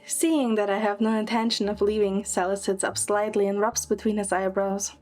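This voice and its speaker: A woman with a middle-pitched voice narrates a scripted audio drama in the first person. She also speaks as its lead character. Music plays behind her. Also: little pitch movement, moderate pace, a little mellow.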